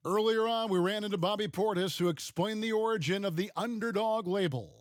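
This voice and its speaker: A man calling basketball play-by-play in short, punchy lines for a video game broadcast. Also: high voice. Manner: brisk, punchy play calls. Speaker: play-by-play commentator.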